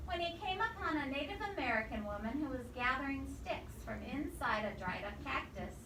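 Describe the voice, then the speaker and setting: A woman with a high voice reads a picture book to young children in an expressive teacherly way, stopping to quiz them. She is some distance from the microphone, and there is clear noise behind her. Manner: expressive, pausing to quiz the listeners. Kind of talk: storybook read-aloud with questions to children. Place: indoor room with children present. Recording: echoey, distant microphone, noisy room.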